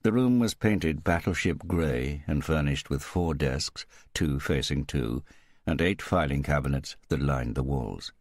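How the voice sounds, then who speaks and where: Very deep-voiced male audiobook narrator. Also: unhurried, no echo, studio quality. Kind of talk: scripted novel narration.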